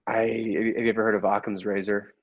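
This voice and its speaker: Evasive inspector for comic radio improv man performer: lowish voice, halting and repetitive, slightly lo-fi. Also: flat delivery, dry recording. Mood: defensive, muddled. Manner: halting, repeating himself. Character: evasive, muddled police inspector defending himself. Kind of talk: improvised comedy radio play. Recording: dull, lo-fi close recording.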